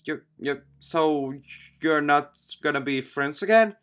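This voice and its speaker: A man's voice: on the high side, takes it slowly, fairly bright tone, clean tone. Man acting an earnest, flustered teenage schoolboy. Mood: hesitant. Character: earnest, exasperated teenage schoolboy protagonist of visual novel.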